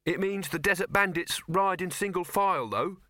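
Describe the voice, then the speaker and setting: Man playing an earnest, higher-pitched skinny youth. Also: normal speed, bright, forceful tone, dry recording, clean studio sound. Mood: doubtful. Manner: hesitant, correcting. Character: skinny, earnest, pedantic male youth, timidly contradicting his boss.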